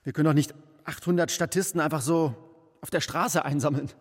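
An actor playing an anxious, exasperated film production manager in a German radio drama, flustered and husky. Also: male voice, on the high side, fairly even intonation, a little fast. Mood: hesitant, uneasy. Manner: flustered, hesitant, blustering. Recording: reverberant hall with music bed.

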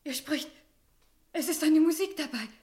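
A woman's voice: high-pitched, normal speed, a little mellow, natural voice. An actress playing a feverish, spellbound village girl in an urgent radio drama performance. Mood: entranced, agitated. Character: feverish, spellbound village girl hearing a mute man speak. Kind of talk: German radio drama acting.

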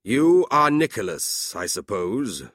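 A man's voice: fairly low pitch, very animated, fairly bright tone, dry recording, studio quality, full character voice. A man voicing a cold, scornful older businessman uncle with a curt, sneering delivery in a storybook reading. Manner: curt, sneering, drawn-out emphatic words.

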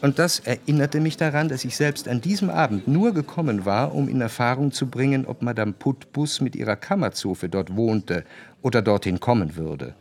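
Introspective young gentleman narrator played by an actor, mid-pitched, measured literary delivery for radio drama. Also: natural voice. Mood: reflective. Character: reflective, refined young man narrating his own memories.

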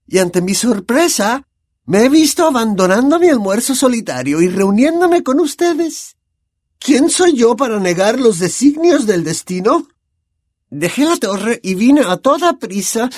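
A dreamy, high-voiced prophetess, put on by a male narrator, sounding distant and theatrical. Her pitch swoops all over the place, and the recording is close and dry. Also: very animated, measured pace, darkish tone, no room sound, full character voice. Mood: mystical. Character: dreamy eccentric female seer, older.

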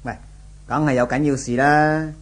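Actor as a higher-voiced, inquisitive male office pal. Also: fairly even intonation, normal speed, close to an everyday voice. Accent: Hong Kong Cantonese. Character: friendly, inquisitive male office colleague, breezily reassuring. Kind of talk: 1970s radio drama acting.

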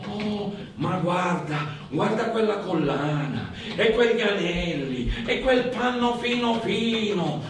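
A man's voice: high voice, lively intonation, slow, distant mic, slight background noise. A man on stage playing a poor Sicilian passer-by, wide-eyed and covetous, marveling to himself. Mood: awed, covetous. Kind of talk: stage theatre acting. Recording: roomy, echoing theatre recording.

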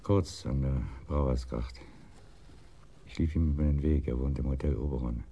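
An actor playing a timid, bitter betrayed husband in a radio crime drama, speaking haltingly and heavily, with hushed trailing phrases. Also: male voice, rumbling low, steady tone, vocal fry, a little hiss or hum. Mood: bitter, broken. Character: broken, bitter cuckolded husband, timid and brooding.

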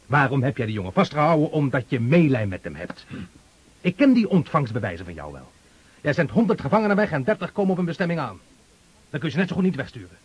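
An actor playing a bold, ruthless army officer in a radio drama, brusque, commanding and mocking. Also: male voice, fairly high pitch, very animated, fast talker, breathy edge, no room sound, lo-fi sound. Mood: scornful. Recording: noisy old radio drama recording.

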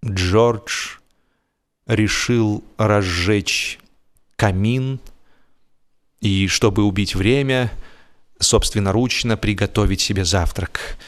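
A man narrating a humorous story as a scripted reading, theatrical in delivery, with drawn-out words and emphatic swings. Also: fairly low pitch. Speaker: audiobook narrator. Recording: music behind the voice. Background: music.

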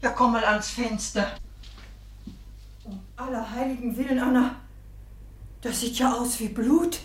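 A woman's voice: on the high side, fairly even intonation, a little slow, echoey room, natural voice. An actress playing an anxious, motherly woman who exclaims and calls out in a German radio drama. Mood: alarmed. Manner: alarmed, calling out, exclaiming. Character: anxious motherly woman searching for missing boy.

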